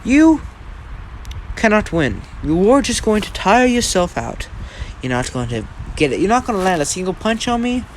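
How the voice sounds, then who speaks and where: A man's voice: high-pitched, big pitch swings, slight background noise, pitched above the performer's own voice, poor audio. A man voicing a smug, condescending teenage hero student in an anime-style story, mocking his rival. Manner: mocking, condescending, drawn-out taunts. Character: smug, taunting teenage superhero student with evil smile.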